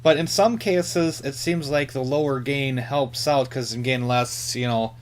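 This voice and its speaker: A man giving a casual hands-on equipment demonstration, halting and tentative as he thinks aloud. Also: medium pitch. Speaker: radio hobbyist presenter. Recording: faint hum and hiss behind.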